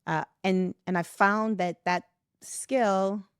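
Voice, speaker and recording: A middle-aged woman from West Africa, a podcast guest in a reflective conversation, telling animated stories, laughing often and acting out the voices she quotes. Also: mid-range voice, slow pace, clean tone, no echo, studio quality.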